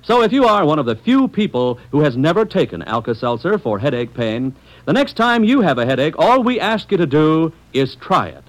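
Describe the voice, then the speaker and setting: A man's voice: on the high side, very animated, normal speed, poor audio. A man announcing a scripted old-time radio advertisement in a polished, persuasive way, speaking to listeners as friends.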